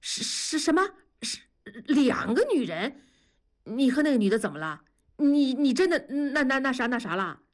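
A woman voicing a blunt, rough-talking middle-aged man, teasing and scolding his friend. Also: lowish voice, sing-song, moderate pace. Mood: incredulous.

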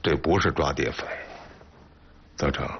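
An actor playing a shrewd, commanding intelligence station chief, with a husky voice, in a TV drama. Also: male voice, a bass voice, unhurried, a little hiss or hum, exaggerated voice. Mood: cool, calculating. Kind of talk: naturalistic TV drama acting. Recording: muffled TV soundtrack.

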